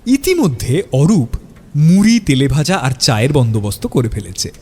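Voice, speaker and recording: A man's voice: fairly high pitch, sing-song, normal speed, crowd noise. A man narrating a scripted audio story in a dramatic storytelling style.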